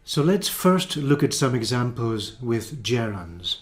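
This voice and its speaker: Male ESOL teacher, slow deliberate delivery, patient step-by-step explanations. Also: mid-range voice, very animated. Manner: careful, repeats and rephrases each example. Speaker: English teacher. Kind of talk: slow explanatory grammar lesson.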